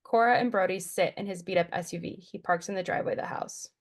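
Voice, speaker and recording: A woman reads screenplay action lines as a narrator. Her voice is a little on the low side, with the plain delivery of scripted stage directions. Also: fast. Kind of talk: scripted narration at a table read.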